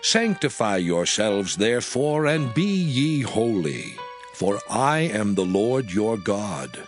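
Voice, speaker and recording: A man playing God as a stern lawgiver, declaiming archaic scripture in a solemn, measured, emphatic way. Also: lowish voice, very animated. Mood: stern, grave. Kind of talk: dramatized scripture reading in archaic English. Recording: music under the voice. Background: music.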